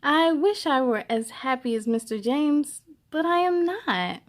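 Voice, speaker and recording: Woman reading as an earnest, high-voiced little schoolgirl. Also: expressive, measured pace. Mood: nervous, sad. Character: shy, nervous, earnest schoolgirl narrating her storybook life.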